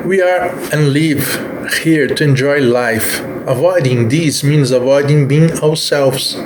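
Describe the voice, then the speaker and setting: A man's voice: fairly high pitch, slow. A man from Brazil with a Brazilian accent, a native Portuguese speaker, addressing podcast listeners in somewhat stiff English phrasing. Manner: stiff, slightly rigid phrasing. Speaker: podcast creator. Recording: clear background noise and ambience.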